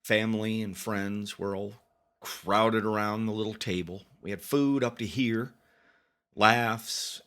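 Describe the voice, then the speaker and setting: A man with a fairly low voice narrates a personal story like a confession. His delivery is very slow and halting, with deliberate pauses. He leans on words with mock-grave, theatrical weight. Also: a bit bright.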